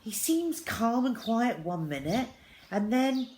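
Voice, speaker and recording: A woman reading a children's novel aloud as a first-person diary, with expressive, deliberate phrasing. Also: on the high side, slight background noise.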